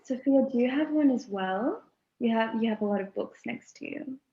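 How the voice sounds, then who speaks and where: A woman co-hosting a video call who speaks in brief, gentle remarks. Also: on the high side, normal speed, reverberant. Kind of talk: casual video-call chat. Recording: dull lo-fi call audio.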